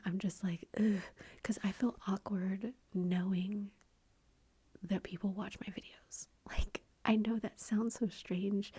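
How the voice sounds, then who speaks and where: A middle-aged woman chatting in a soft, rambling whisper for her ASMR channel, confiding and talkative. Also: medium pitch, husky. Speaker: ASMR YouTuber.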